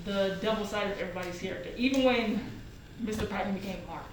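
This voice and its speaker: A woman chatting casually and slangily, animated, with joking asides. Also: medium pitch, quick pace. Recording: very echoey room, distant mic, noisy.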